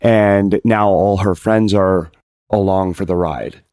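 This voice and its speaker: A man's voice: low-pitched, steady tone, takes it slowly, mellow, smooth, no room sound, clean studio sound. A man talking as a podcast guest, casual, earnest and emphatic, repeating himself to stress his point.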